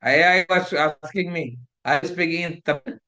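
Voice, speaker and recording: A man's voice: fairly high pitch, moderate pace, sharp tone. Scolding Indian man lecturing a class. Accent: Indian English. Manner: scolding, preachy, switches between English and Hindi. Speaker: teacher of a fellowship class.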